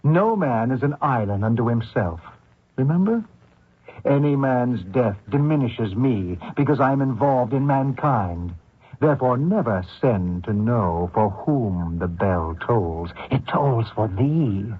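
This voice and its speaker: An actor playing a vengeful Canadian man hunting a fugitive, deliberate and taunting in an old radio drama. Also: medium pitch, animated, husky, dry recording. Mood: accusing. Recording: muffled thin old radio recording.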